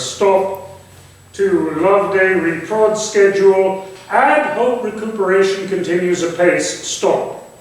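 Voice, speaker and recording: Man playing a high-voiced, imperious Victorian theatre chief. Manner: declamatory, lecturing, clipped phrases. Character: imperious Victorian actor-chief dictating curt telegram orders. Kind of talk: theatrical dictation in a staged reading. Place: indoor theatre space, staged reading. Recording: very echoey hall, distant mic.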